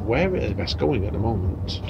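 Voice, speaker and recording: A man's voice: lowish voice, big pitch swings, fairly quick, vocal fry. A man hosting a casual interview outdoors, chatty and rambling with tag questions. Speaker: podcast host and interviewer. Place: outdoors by a bar with passing cars. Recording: dull outdoor recording with street noise.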